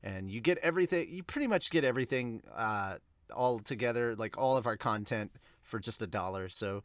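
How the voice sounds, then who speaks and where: A man's voice: medium pitch, animated, a little fast, no echo, studio quality. A man hosting a manga review podcast, chatting to viewers in an informal, rambling way. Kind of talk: casual chat to viewers.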